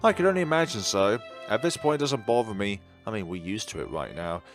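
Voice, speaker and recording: Low-voiced man, theatrical and swooping delivery. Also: lively intonation, fast talker, faint music behind. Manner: theatrical, with slips and self-corrections. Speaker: video game streamer reading dialogue aloud. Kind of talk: dramatic read-aloud of a visual novel with asides.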